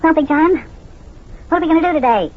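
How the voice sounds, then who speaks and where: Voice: sits high, lively intonation, fast talker. An actor voicing an eager, chatty little boy elf with a chirpy, polite manner in an old children's radio serial. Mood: eager, matter-of-fact. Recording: muffled, lo-fi old radio recording.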